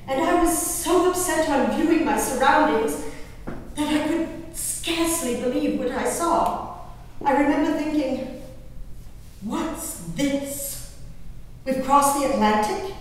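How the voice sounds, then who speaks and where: A woman playing a genteel, dramatic English gentlewoman diarist in a declamatory stage reading, stretching out her emphatic phrases. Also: high-pitched. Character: genteel, dramatic English gentlewoman diarist, easily appalled, enraptured by wild nature. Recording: echoey hall from far off, faint noise.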